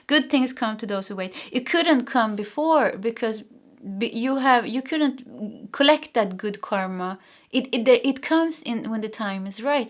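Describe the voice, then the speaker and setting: A woman's voice: a little high, fairly bright tone. A woman from Sweden with a Swedish accent, a non-native English speaker, giving a casual pick-a-card tarot reading and often stopping to search for words. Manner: chatty and searching, with frequent fillers and self-corrections. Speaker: tarot reader.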